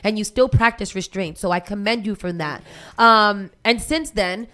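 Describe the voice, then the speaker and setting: A woman hosting a morning radio talk show, speaking in a measured, emphatic way. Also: medium pitch, bright, forceful tone.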